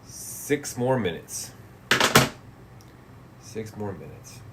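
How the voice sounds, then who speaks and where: A man's voice: fairly low pitch, big pitch swings, very slow, very noisy. A man in his late thirties chatting casually on a livestream, reading chat messages and replying in a laid-back, profane way that often trails off into mumbles. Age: 30s. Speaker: streamer.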